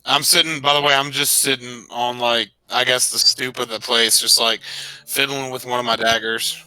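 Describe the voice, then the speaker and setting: Sharp-voiced man bantering over a tabletop game. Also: mid-range voice, fairly even intonation, moderate pace, clean tone, no echo, poor audio. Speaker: tabletop roleplaying player.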